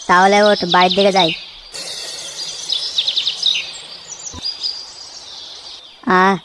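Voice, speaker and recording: A woman's voice: mid-range voice, noisy background, natural voice. A woman voicing foul-mouthed, bickering young village lads in a comedy cartoon, crude and slangy. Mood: casual. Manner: crude, slangy, cursing constantly.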